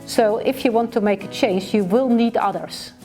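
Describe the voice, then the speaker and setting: Mellow-voiced thoughtful Belgian woman. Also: a little high, moderate pace, faint music behind. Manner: thoughtful, hesitant, with fillers. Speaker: professor. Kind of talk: documentary interview.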